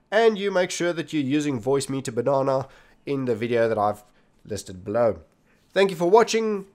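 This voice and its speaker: A man hosting a tech tutorial, giving step-by-step instructions straight to viewers as he demonstrates on his computer. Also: on the high side. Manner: instructional, addresses viewers directly. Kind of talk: scripted-feeling screen tutorial narration, step by step. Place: indoors at a desktop computer, voice recorded while demonstrating. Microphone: desktop computer microphone.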